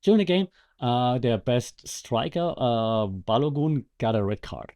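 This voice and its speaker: A man with a relaxed, mellow voice talks through commentary as a podcast host. His delivery is casual and conversational, with wry, sarcastic asides. His pitch sits in an ordinary middle range for a man. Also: measured pace. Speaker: podcast host and media lecturer.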